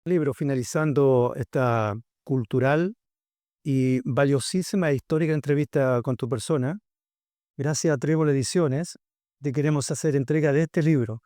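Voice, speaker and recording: A man's voice: mid-range voice, normal speed, dark tone, no echo, clean studio sound. A man hosting an interview. He asks questions and closes the interview with formal thanks. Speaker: interviewer, host. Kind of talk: casual interview.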